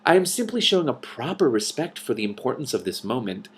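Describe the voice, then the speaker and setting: A man's voice: mid-range voice, very animated, fairly quick, a little hiss or hum, natural voice. Casting: male reader as smug theatrical wizard, storybook villain, pompous and petulant. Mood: furious, indignant. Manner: superior, pompous.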